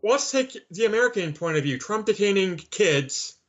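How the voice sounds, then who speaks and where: A man's voice: sits high, animated, moderate pace. A man from Canada speaking as an interview guest in an assertive, opinionated, conversational way. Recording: slightly dull lo-fi audio.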